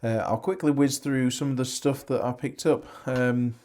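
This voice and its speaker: An English man, hoarse and losing his voice, chatting casually in a rambling, self-correcting way about antiques he picked up. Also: mid-range voice, fairly even intonation, moderate pace, warm tone. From England. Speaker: antiques reseller vlogger. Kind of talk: casual vlog chat.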